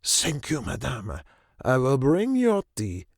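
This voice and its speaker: A man's voice: mid-range voice, wildly swooping pitch, slow pace, no echo, studio quality, exaggerated voice. An actor playing a deferential male waiter at a luxury resort, formal, obsequious and hesitant. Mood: deferential, discreet.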